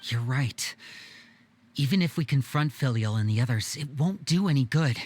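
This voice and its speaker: A man playing a responsible young elder brother in a video game, measured and reassuring as he takes the lead. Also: mid-range voice, lively intonation, a little hiss or hum, lightly acted. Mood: calm, decisive. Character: composed, responsible young elder brother leading his siblings.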